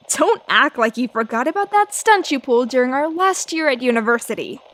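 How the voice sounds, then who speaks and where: An actress playing a brash, tenacious 1930s newspaper reporter, feisty and full of snappy period slang. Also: female voice, high voice, expressive, normal speed, fairly bright tone. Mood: indignant. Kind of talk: old-time radio drama acting.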